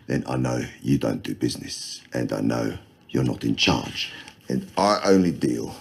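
A man's voice: very deep voice, lively intonation, measured pace, vocal fry, slight background noise, exaggerated voice. An actor playing a sardonic middle-aged male arms dealer with a drawling manner, on a film soundtrack.

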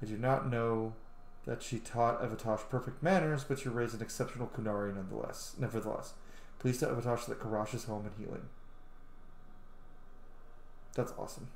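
A man's voice: medium pitch, steady tone, brisk, gravelly, pauses often. Echoey man doing casual gaming commentary. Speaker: gaming video host. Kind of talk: casual let's play commentary. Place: small room indoors at home. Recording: roomy echo, faint background noise.

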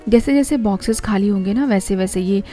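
A woman's voice: mid-range voice, fairly quick, dark tone, faint music behind. A young Indian woman narrating her home cleaning vlog, chatty and explaining household tips step by step. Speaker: home vlogger.

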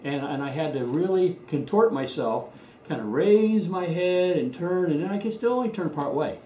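A man's voice: a little high, animated, normal speed, dark tone. An American man in his fifties casually explaining a how-to step by step, recorded in a garage workshop from a few feet away. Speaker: presenter of a how-to video. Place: indoors in a home garage workshop. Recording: echoey garage with background noise.

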